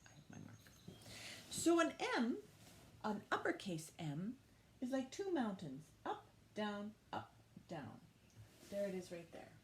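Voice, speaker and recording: A woman's voice: medium pitch, big pitch swings, unhurried, long pauses. A woman hosting a children's storytime, reading and teaching in simple, encouraging, repeated phrases for young listeners. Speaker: children's librarian storytime host. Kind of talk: children's storytime with read-aloud and instruction.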